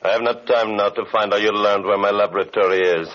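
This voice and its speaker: An actor playing a gloating, vengeful evil magician in an old radio drama, theatrical, deliberate and sneering. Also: male voice, lowish voice, fairly even intonation, lightly acted. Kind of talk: 1930s radio drama acting. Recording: dull, lo-fi old radio recording.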